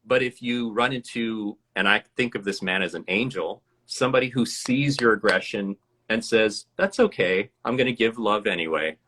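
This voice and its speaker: A man with a lowish, bright and forceful voice tells a personal story as a guest in a live interview. He speaks reflectively and earnestly and breaks into laughter now and then. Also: normal speed, low-quality recording. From USA.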